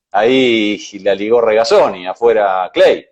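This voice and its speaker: A man with an Argentine accent, co-hosting a casual motorsport chat, talking in a measured way with hesitations. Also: lowish voice, expressive, lo-fi sound. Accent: Argentine Spanish. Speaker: co-host.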